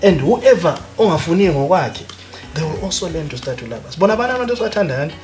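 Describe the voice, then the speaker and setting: A man's voice: fairly high pitch, big pitch swings, quick pace, darkish tone. Passionate Zimbabwean man, music under. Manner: emphatic, passionate, mixes in Ndebele phrases. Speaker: guest, academic economist. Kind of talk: conversational interview. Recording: background music.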